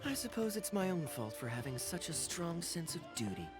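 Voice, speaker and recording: An actor playing a suave, teasing young knight in a video game, with a smooth, wry, theatrical delivery. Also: male voice, fairly high pitch, big pitch swings, fairly quick, over loud music. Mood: wry, easygoing. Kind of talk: video game character dialogue.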